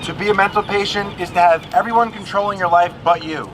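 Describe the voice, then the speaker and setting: A man's voice: sits high, steady tone, quick pace. A man reciting lines of a poem at a public protest. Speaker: protest participant reading a poem. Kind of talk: public poetry reading at a protest. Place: outdoors at a street protest. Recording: clear outdoor traffic noise.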